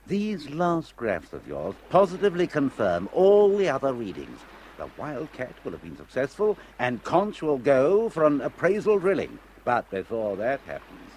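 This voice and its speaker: A smug, patronizing swindler. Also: male voice, mid-range voice, darkish tone. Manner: patronizing, says "dear boy". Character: smug scheming middle-aged male swindler. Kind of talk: 1970s radio drama acting. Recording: radio play with water noise.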